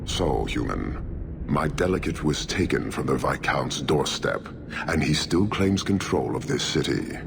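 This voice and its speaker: A man voicing a huge, stern, proud inhuman warlord in a video game, gravelly, measured and imperious. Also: a bass voice, expressive, full character voice. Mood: cold contempt. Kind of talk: dramatic video game cutscene acting. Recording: noisy game ambience behind.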